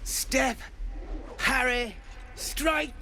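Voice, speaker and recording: An actor playing a frustrated young refugee practicing swordplay, exasperated and snappish. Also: male voice, very high-pitched, steady tone, extremely slow, sharp tone, a little hiss or hum, exaggerated voice. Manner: exasperated, snappish, self-critical. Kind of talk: video game dialogue.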